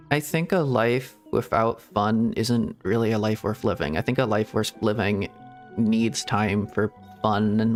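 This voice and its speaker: A man streaming a video game, thinking aloud in a rambling, reflective way with hedges and soft laughs. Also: fairly low pitch. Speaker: VTuber streamer. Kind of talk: casual gameplay commentary.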